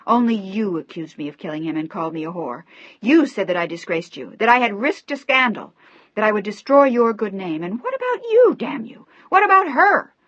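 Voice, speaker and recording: A woman voicing a furious young daughter who shouts and sobs her accusations, in a dry, slightly dull recording. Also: on the low side, normal speed, no echo.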